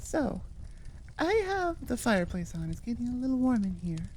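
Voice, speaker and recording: A woman's voice: a little high, big pitch swings, normal speed, darkish tone, low-quality recording. Chatty American woman, informal and rambling. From United States. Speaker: audio roleplay creator. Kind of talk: casual outro chat to listeners.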